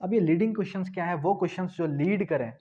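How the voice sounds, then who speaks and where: A man's voice: high-pitched, fast talker, mellow, slightly rough. A man teaching law for an exam, asking rhetorical questions and repeating key points. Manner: explains with rhetorical questions and repetition. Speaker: law tutor. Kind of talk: exam-prep law lecture. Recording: dull lo-fi recording.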